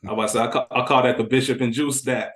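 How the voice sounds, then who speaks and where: A man's voice: mid-range voice, steady tone, fast talker, a bit bright. A man chatting casually as a podcast guest, joking and slangy, recorded in a roomy space. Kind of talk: casual chat. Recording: roomy, echoey.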